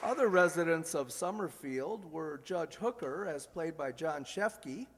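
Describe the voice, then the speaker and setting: A man's voice: fairly high pitch, lively intonation, unhurried. A man announcing a live old-time radio style show as its host, in a jokey, ad-libbing manner. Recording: echoey hall with background hiss.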